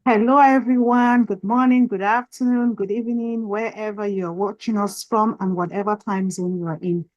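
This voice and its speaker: A woman from Cameroon co-hosting an online workshop and introducing herself. She greets warmly and pauses on fillers now and then. Her voice is at a middle pitch. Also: steady tone, no room sound, highs cut off. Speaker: workshop co-host.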